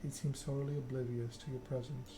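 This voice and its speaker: A man narrating a story game aloud in a soft ASMR style. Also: medium pitch, level tone, fairly quick. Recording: clear background noise.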